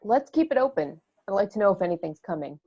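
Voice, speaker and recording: A woman playing a tough, sardonic spaceship crew member in an amateur table read, creaky voice on a dull lo-fi recording. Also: mid-range voice, very animated, fast, mellow. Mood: alert.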